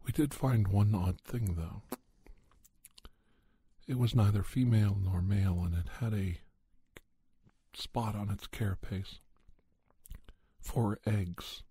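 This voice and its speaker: A man playing a grave, gentle doctor who recounts paranormal creature hunts in a soft, husky ASMR storytelling style. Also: lowish voice, takes it slowly, pauses often, full character voice. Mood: hushed, regretful. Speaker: storyteller, podcast narrator.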